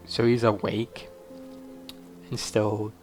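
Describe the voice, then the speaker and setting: A game streamer with a mid-pitched voice reacts casually to what is on screen. The remarks come in short, informal, puzzled bursts, with game music behind. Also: level tone, takes it slowly. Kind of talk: casual commentary over gameplay.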